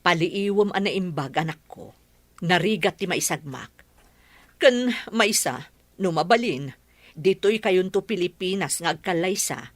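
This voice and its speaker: A woman's voice: lowish voice, animated, unhurried, no echo. An actress with a Filipino accent playing a caring, cautious middle-aged mother in a radio drama. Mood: concerned. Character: caring, cautious mother advising her grown daughter.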